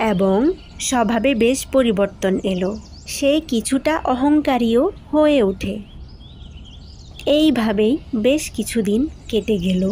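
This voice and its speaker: A woman's voice: fairly high pitch, darkish tone, slight background noise. A woman reading scripted fairy-tale narration as a cartoon storyteller.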